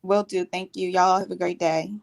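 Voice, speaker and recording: A woman's voice: fairly low pitch, little pitch movement, moderate pace, creaky, no echo. A woman auditioning as a performer, chatting casually and politely in short replies.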